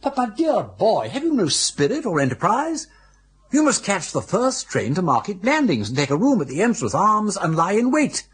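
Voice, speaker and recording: An actor playing a jaunty, meddling older English gentleman with husky, breezy upper-class banter in a radio drama. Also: high-pitched, wildly swooping pitch, normal speed, exaggerated voice. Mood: rousing, bossy.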